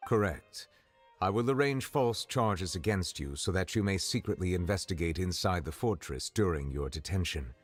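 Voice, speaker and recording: Grave game official: very low, smooth-edged restraint, slightly husky, formal diction, faint music behind. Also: male voice, lively intonation. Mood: composed, courteous. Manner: measured, formal, precise diction. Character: grave, formal male official of high rank, reserved and courteous. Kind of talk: video game voice acting.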